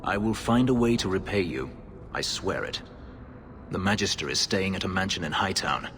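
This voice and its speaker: Proud elf warrior, fantasy game, deep, grave, formal, resolute, long reverb, faint background ambience. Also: male voice, expressive, normal speed, fairly bright tone, a little hiss or hum. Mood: grim resolve. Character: brooding, proud elf warrior, escaped slave, grimly resolute. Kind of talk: video game character acting.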